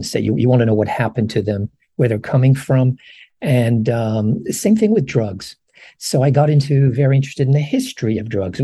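A man's voice: mid-range voice, warm tone, slightly rough, dry recording. A man in his sixties from Morocco, a professor answering interview questions thoughtfully, with frequent ums and restarts. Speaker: professor, podcast guest. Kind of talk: long-form interview answers.

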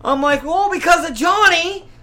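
A man in his thirties chatting casually and telling personal stories in a rambling, animated, profane way. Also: extremely high pitch, normal speed. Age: 30s. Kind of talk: casual chat, personal anecdotes.

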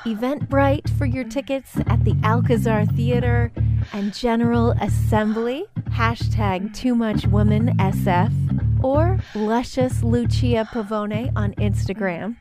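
A woman announcer giving a smooth, scripted promotional outro. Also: on the high side, a little slow. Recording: music bed behind.

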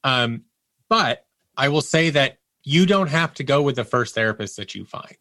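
A man's voice: mid-range voice, expressive, normal speed, pushed and bright, no room sound, clean studio sound. A man speaking as an interview guest, candidly telling personal stories in a conversational way and acting out quotes as he goes.

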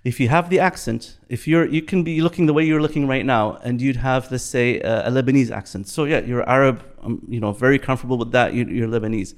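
A man in his fifties from Bahrain, chatting in a relaxed podcast conversation, reflective, often repeating and restarting his words. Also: medium pitch, fast talker, hardly any pauses. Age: 50s. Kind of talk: casual podcast chat.

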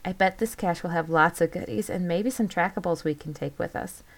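A woman's voice: lowish voice, a little fast. A woman voicing a friendly young man in a spooky story, chatty and earnest. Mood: eager.

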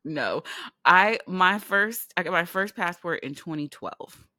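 A woman's voice: on the low side, expressive, measured pace, sharp tone. A middle-aged woman from California co-hosting a podcast in casual chat, laughing often and swearing freely. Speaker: podcast co-host.

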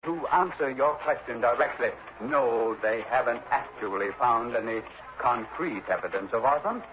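An actor playing an earnest, fretful insurance executive in a 1960s radio drama, wordy and hesitant, on a muffled, noisy old recording. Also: male voice, mid-range voice, moderate pace, natural voice. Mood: fretful, explaining. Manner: hesitant, wordy, emphatic repetition.

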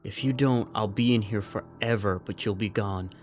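A man playing a resigned, trapped soldier in an audio drama, speaking in a measured, earnest, persuasive way. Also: lowish voice, faint music behind, close to an everyday voice. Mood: resigned pleading.

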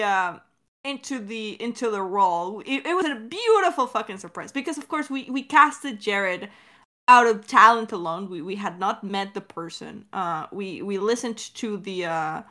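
A woman talking casually as a creator about a production, effusive and halting, with plenty of swearing. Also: mid-range voice, bright, forceful tone. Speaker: podcast creator answering questions. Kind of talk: casual behind-the-scenes discussion.

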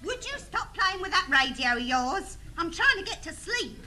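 A scornful English neighbour, nags in a high voice that swings up and down sharply. She is a cross, sleep-deprived woman in a sitcom, heard on a noisy recording. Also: sing-song, normal speed, pushed and bright, full character voice. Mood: nagging. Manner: nagging, scornful. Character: irritated, scornful working woman neighbour kept awake. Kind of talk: broadcast sitcom acting.

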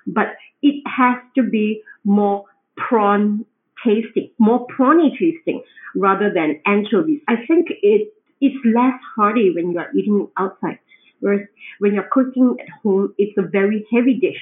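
A woman's voice: mid-range voice, unhurried. A woman from Singapore with a Singaporean accent, a home cook chatting as a podcast guest, explaining with frequent restarts. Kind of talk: conversational interview. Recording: tinny phone-like remote line.

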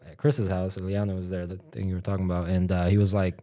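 A man co-hosts a casual podcast in a very deep, low voice. He is laid-back, laughs easily and likes to tell stories about his friends. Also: flat delivery, fast, dark tone. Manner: laid-back, laughs often, tells anecdotes. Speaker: podcast co-host.

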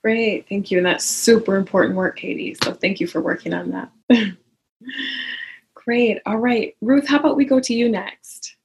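A woman's voice: mid-range voice, moderate pace, vocal fry, poor audio. A woman moderating a panel discussion, affirming and thanking each speaker before passing to the next.

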